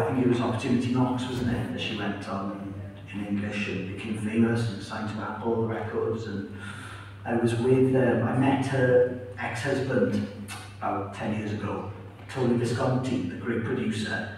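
Welsh man in an echoey hall. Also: mid-range voice. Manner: chatty, warm, storytelling with asides. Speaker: interviewed author and radio presenter. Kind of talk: live festival interview. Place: town hall at a live event. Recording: echoey hall from far off, faint noise.

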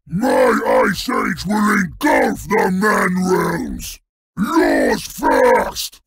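A huge, brutish troll king from a fantasy game, roars his boasts and threats. The voice is thick with gravel and swings wildly in pitch. He drags out his blunt, broken sentences very slowly, always sounding hungry for a fight or a meal. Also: fairly bright tone, a little hiss or hum, full character voice. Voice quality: gravelly, growling. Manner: bellowing in broken, blunt speech. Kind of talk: fantasy video game villain voice lines.

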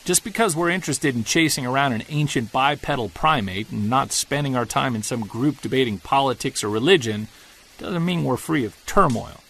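An actor playing a weary middle-aged Bigfoot investigator, narrating an audio diary in an earnest, confessional way. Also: male voice, medium pitch, moderate pace. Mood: troubled. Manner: confessional, earnest, quietly troubled. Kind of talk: scripted audio drama narration.

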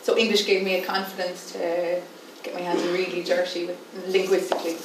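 A woman's voice: lowish voice, little pitch movement, a little fast. A young Irish woman poet speaks candidly and thinks aloud, her words echoing around a theatre hall. She is picked up by a distant camera mic, with a faint hum of room ambience underneath. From Ireland. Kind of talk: panel Q&A answers. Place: stage in a dim theatre hall. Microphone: far camera. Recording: roomy hall sound from far off.

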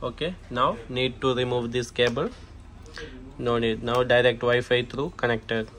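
A man who is not a native English speaker gives a hands-on setup walkthrough in short, halting phrases with frequent okays. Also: mid-range voice, fairly even intonation, a bit bright. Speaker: tutorial presenter, technician. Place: indoor shop or office. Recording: noisy room, clear background noise.

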